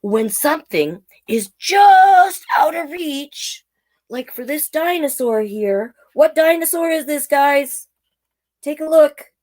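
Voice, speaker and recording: A woman hosting a children's storytime, reading picture books in a playful, encouraging way and chatting directly to young viewers. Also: sits high. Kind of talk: animated picture-book read-aloud with chatty asides to young viewers.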